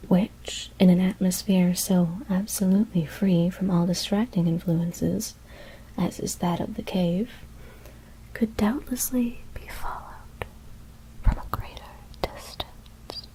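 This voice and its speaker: A woman whispering a gothic horror story as ASMR narration, hushed and deliberate, with careful pauses. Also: fairly low pitch, steady tone, slightly rough, poor audio.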